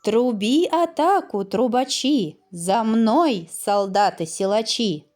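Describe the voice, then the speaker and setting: A gallant toy soldier prince from a storybook, acted out by a woman. He speaks in a courtly, declamatory way, with lively rises and falls and an unhurried pace. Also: on the high side, faint music behind. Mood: rallying. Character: brave, gallant toy soldier turned fairy-tale prince.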